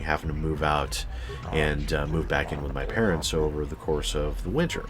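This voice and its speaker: A man's voice: very deep voice, slight background noise. A man telling a casual, rambling personal story as a channel host, swearing freely with exaggerated emphasis.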